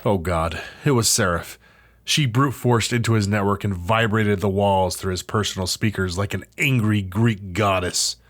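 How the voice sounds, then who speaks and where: A man narrating an interactive audio drama in a suspenseful, theatrical scripted style with dramatic pauses. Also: on the low side. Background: music.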